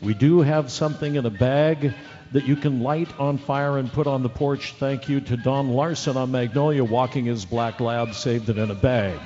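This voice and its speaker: A man working as a radio announcer, reading show credits live in a playful, drawn-out style. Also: medium pitch, steady tone, dark tone. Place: live theater hall. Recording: dull hall recording with faint music.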